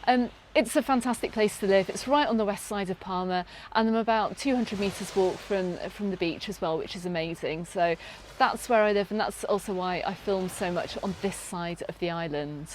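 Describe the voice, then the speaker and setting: A woman's voice: mid-range voice, moderate pace, slight background noise. A British woman hosting a travel vlog, answering viewer questions in an upbeat, chatty way from a sunny beach, with frequent ums and restarts. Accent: British English. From Britain. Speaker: travel vlogger. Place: outdoors on a sunny beach, in a lifeguard tower.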